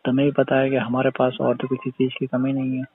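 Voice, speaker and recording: A man's voice: mid-range voice, completely flat delivery, quick pace, crowd noise, close to an everyday voice. A man playing a suggestive employer on a phone call, coaxing and circling hesitantly, with frequent tag questions in casual colloquial talk. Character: wheedling, suggestive male employer bargaining with his maid. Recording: noisy, dull phone-call recording with clicks.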